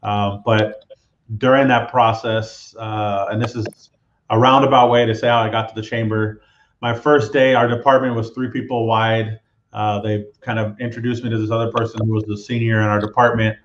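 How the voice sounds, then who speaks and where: A man from Southern California, a guest telling his background in a relaxed, roundabout way. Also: lowish voice, moderate pace, reverberant, muffled recording, slight background noise. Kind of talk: casual interview storytelling.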